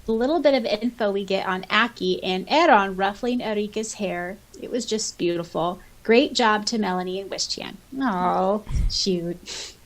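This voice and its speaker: A woman's voice: medium pitch, moderate pace, poor audio. A woman podcast co-host who chats casually and reads out comments in an enthusiastic, giggly manner. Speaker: podcast co-host and audiobook voice actor. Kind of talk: casual podcast chat, reading out listener comments.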